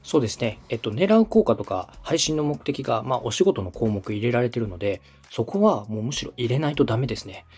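A man from Osaka hosting a podcast consultation, explaining things politely and methodically with drawn-out hesitations. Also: medium pitch, lively intonation, a little mellow, slightly rough, soft background music. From Osaka, Japan. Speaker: podcast host, radio director coaching a client.

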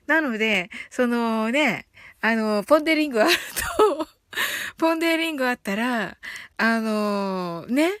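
A woman with a very high, bright voice hosts a casual live audio stream. She chats politely and reads out comments from listeners, often breaking into giggles as she speaks. The sound is dry, with no room echo. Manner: giggly, laughs often while talking. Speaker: livestream host reading out listener comments.

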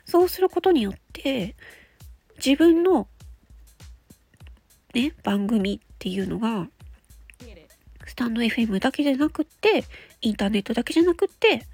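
Lively, high-pitched woman with a husky edge. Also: moderate pace, long pauses, faint music behind. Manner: polite, step-by-step explaining. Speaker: host of an audio show. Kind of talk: casual explanatory talk.

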